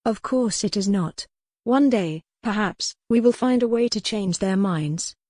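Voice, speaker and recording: A woman's voice: medium pitch, unhurried, a little mellow, no room sound, clean studio sound, close to an everyday voice. A woman playing a proud young noblewoman. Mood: earnest, kind. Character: young noblewoman, proud captive wife, earnest and sharp-tongued.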